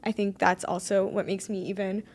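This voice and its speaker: A woman's voice: medium pitch, little pitch movement, moderate pace, darkish tone. A young woman songwriter answering interview questions in a hesitant, casual way, with many ums and likes. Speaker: guest songwriter.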